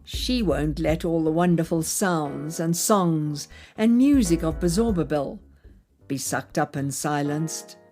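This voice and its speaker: A woman's voice: sits low, takes it slowly, mellow, soft background music. A woman narrating a scripted space story, speaking directly to child listeners. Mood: dramatic.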